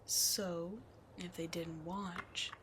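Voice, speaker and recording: A woman's voice: on the low side, little pitch movement, drawn-out words, a bit bright, voice pushed down. A woman voicing a scheming demon queen in an ASMR roleplay, sly and mocking, often slipping into whispers, with faint noise behind. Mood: taunting. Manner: sly, taunting, drifting into whispers. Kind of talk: ASMR roleplay audio drama.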